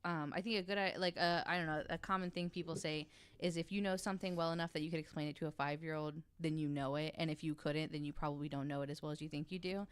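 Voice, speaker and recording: A woman's voice: low-pitched, steady tone, fast, hardly any pauses, clean tone. A woman explaining things casually on a podcast, informal and frank in her delivery. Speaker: podcast panelist.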